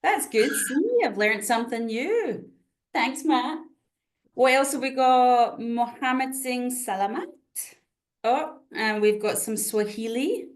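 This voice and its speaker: A woman's voice: fairly high pitch, lively intonation, takes it slowly. A Scottish woman from Scotland hosting an online session over a video call, chatty and friendly, with frequent fillers. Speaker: webinar host.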